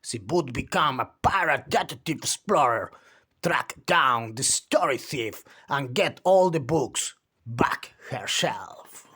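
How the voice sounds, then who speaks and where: A man reading a children's picture book aloud, with theatrical delivery and drawn-out emphasis on key words. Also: mid-range voice, lively intonation, bright, forceful tone, breathy edge. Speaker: children's storytime reader.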